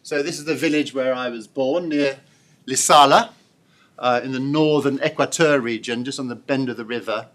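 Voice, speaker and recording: A middle-aged man giving a thoughtful public lecture, pausing often with fillers and restarts. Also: mid-range voice, fairly bright tone. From born and raised in Congo. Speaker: professor giving an inaugural lecture. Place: lecture hall.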